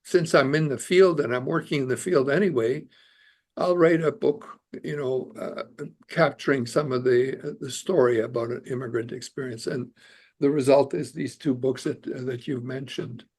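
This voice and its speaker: A man's voice: medium pitch, fairly even intonation, normal speed, a little mellow, husky. An older Canadian-born man, an immigration lawyer and author, talking earnestly as a podcast guest with many fillers and restarts. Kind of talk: casual interview conversation.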